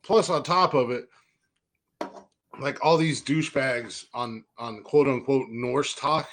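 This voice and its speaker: A man's voice: mid-range voice, slow, a little hiss or hum. A man co-hosting a podcast, rambling in blunt, casual talk and swearing a lot. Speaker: podcast co-host. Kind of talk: casual profane banter.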